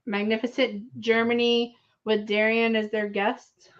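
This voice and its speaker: Woman, thirties, livestream co-host, fairly high voice, chatty, dull lo-fi sound. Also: flat delivery, a little slow. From South Texas. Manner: chatty, laughs often, says y'all. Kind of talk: casual livestream chat. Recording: lo-fi stream audio.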